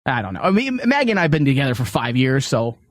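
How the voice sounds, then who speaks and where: A man's voice: medium pitch, big pitch swings, fast talker, no echo, clean studio sound. A man hosting a podcast in casual, unscripted chat, talking in a rambling, conversational way. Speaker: podcast host.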